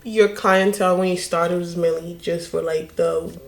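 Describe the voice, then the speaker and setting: A woman's voice: lowish voice, fairly even intonation, normal speed, vocal fry, roomy sound. A young woman hosting an interview, casual and slangy, stumbling over her words at times. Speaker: host.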